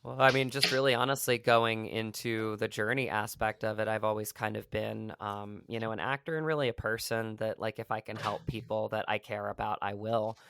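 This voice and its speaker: A man's voice: lowish voice, flat delivery, a little fast, vocal fry, hardly any pauses, a bit bright, clean tone. A man being interviewed about his acting work, talking earnestly and rambling with lots of fillers and restarts. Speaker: actor guest. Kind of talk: casual interview conversation.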